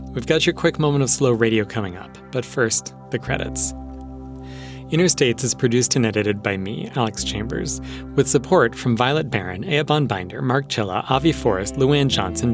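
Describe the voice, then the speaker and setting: A man hosting a public radio show, reading in a conversational scripted style. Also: medium pitch. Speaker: radio show and podcast host.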